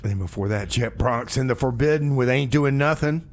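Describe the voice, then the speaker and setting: A man's voice: mid-range voice, fairly quick, a little hiss or hum. A man hosting a community radio show in a studio, talking casually and hesitantly, with frequent restarts. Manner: rambling, hesitant, full of restarts. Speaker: radio host.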